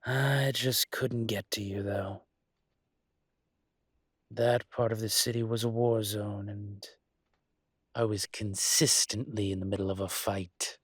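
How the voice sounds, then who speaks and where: Man playing a low, husky dragon crime boss. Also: fairly even intonation, no room sound, clean studio sound. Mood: reflective, calm. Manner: measured, deliberate, teasing, with dramatic pauses. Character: male dragon shifter mafia boss, teasing, smug, protective. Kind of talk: slow intimate roleplay audio acting.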